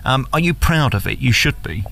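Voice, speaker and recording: A man's voice: mid-range voice, expressive, brisk, creaky, bright, forceful tone. A man interviewing a guest conversationally, with an effusive, emphatic way of putting his questions.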